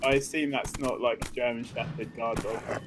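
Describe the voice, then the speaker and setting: A man co-hosting a video game playthrough with casual, joking commentary in a dry, deadpan, mock-official manner. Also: mid-range voice, moderate pace, background noise. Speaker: let's play co-host. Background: game music.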